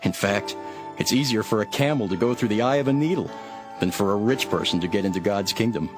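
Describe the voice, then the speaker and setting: A man playing a calm, authoritative religious teacher in a dramatized scripture, solemn and measured. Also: on the low side, close to an everyday voice. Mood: solemn, assuring. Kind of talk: dramatized scripture reading with storytelling. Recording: background music under the voice.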